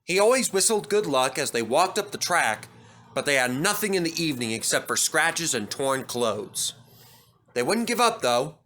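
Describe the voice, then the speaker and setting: A man's voice: on the high side, sing-song, moderate pace, bright, forceful tone. A man narrating a scripted children's story as a storybook reader.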